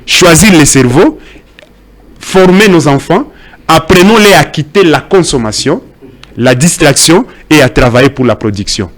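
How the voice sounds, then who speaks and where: A man's voice: a little high, expressive, a little slow. A Congolese man whose first language is Swahili, making an impassioned debate speech full of rhetorical questions. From Congo (DRC). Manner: rhetorical questions, emphatic and preachy. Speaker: debate guest.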